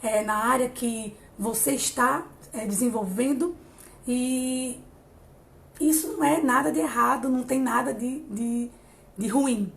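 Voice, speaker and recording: A woman in her late thirties speaks to a live-stream audience in an encouraging, chatty way. Her voice sits fairly high, and she often stops, repeats a word, and starts her sentence again. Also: steady tone, unhurried, vocal fry. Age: 30s. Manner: warm, encouraging, many hesitations and restarts. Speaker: psychologist hosting a live stream. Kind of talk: casual live-stream talk on emotional growth.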